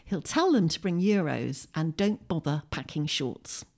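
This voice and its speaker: A woman's voice: deep voice, very animated, measured pace, darkish tone. A British woman talking chattily and emphatically about books as a guest, sometimes reading aloud. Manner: enthusiastic, emphatic. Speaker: guest writer, poet. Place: a room in a home.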